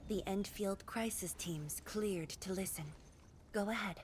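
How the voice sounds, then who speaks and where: An actress playing a composed, authoritative official in a video game, speaking in a formal, measured way. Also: female voice, medium pitch, a little hiss or hum, lightly acted. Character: composed, authoritative female official receiving a field report.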